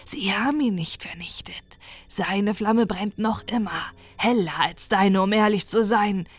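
A woman reading an audiobook, voicing a mocking male being from another dimension in a slow, breathy, unvoiced whisper. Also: mid-range voice, faint music behind. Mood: scornful whisper. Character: eerie whispering otherworldly male being, scornful.

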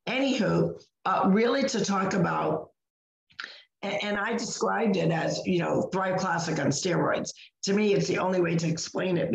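A hoarse woman, co-hosting a chatty podcast and often correcting herself mid-sentence. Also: fairly low pitch, echoey room, dull audio. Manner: rambling, self-correcting. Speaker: co-host of a wellness podcast. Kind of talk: casual chat.

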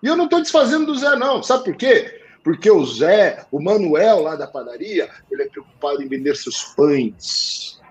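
A man with a Portuguese accent coaching accountants on sales, blunt and slangy, swinging to loud emphasis. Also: on the high side, sing-song, normal speed, poor audio. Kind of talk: live talk show advice.

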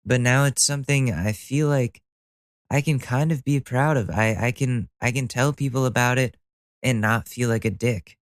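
A man's voice: medium pitch, normal speed, dry recording, studio quality. A young man hosting a solo podcast, talking casually with a stuffy nose, rambling and joking at his own expense with the odd swear word. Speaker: podcast host.

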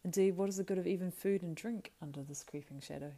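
A woman voicing a young male hobbit, frightened, grumbling and excitable, in a plaintive, exclaiming way for a storybook reading. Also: on the low side, fast. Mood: grumbling, uneasy.

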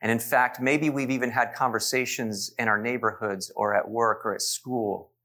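A man's voice: medium pitch, fairly even intonation, moderate pace. A man in his forties preaching in church, telling stories with asides to the congregation. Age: 40s. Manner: warm storytelling with asides to the congregation. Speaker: pastor. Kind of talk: sermon.

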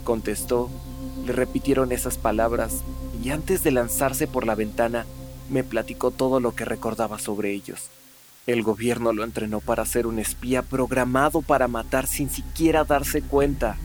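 An actor playing a serious, sceptical ex-soldier, firm and deliberate, in a Spanish-language radio drama. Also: male voice, mid-range voice, fairly even intonation, faint music behind, close to an everyday voice. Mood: grave.

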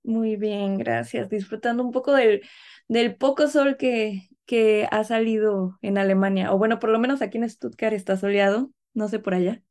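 A woman's voice: mid-range voice, moderate pace, a little mellow. A woman hosting a radio interview from a virtual studio, chatting with her guest in a friendly, conversational way. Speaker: radio host. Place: Stuttgart, Germany. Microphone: virtual studio, remote connection.

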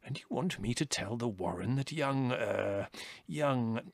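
A man's voice: mid-range voice, animated, measured pace, vocal fry, dry recording. A man voicing an aging chief rabbit in a storybook, drawling, over-polite, detached and condescending. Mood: politely dismissive. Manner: drawling, over-polite, unruffled, with musing repetitions.

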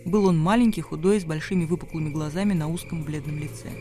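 A male narrator of a radio drama with a notably high-pitched voice. He reads literary prose as scripted narration, with quiet music behind him. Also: quick pace.

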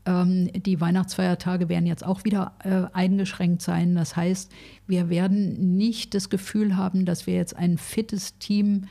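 A woman's voice: fairly low pitch, flat delivery, unhurried, creaky, warm tone. A woman in her sixties from Berlin, chatting frankly as an interview guest, with many fillers and restarts. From Berlin, grew up in West Berlin. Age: 60s. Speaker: food bank manager, guest. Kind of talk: casual interview conversation.

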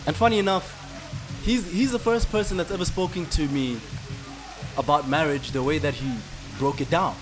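Man, mellow voice, reflective casual talk, music audible behind. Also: a little high, normal speed. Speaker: podcast co-host. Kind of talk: casual chat.

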